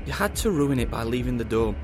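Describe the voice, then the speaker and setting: An actor playing a controlling male villain in an audio drama, falsely tender, condescending and quietly threatening. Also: mid-range voice, lively intonation, quick pace, music in the background, natural voice. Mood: bitter, mock-tender.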